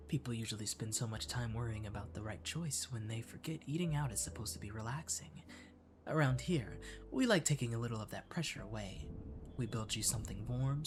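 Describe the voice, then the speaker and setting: Catboy cafe waiter , male performer, mid-to-high pitched for ASMR comfort roleplay: gentle, flirty, whispery, music underneath. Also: lightly acted. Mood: tender, coaxing. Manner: soft-spoken, drifting into whispers.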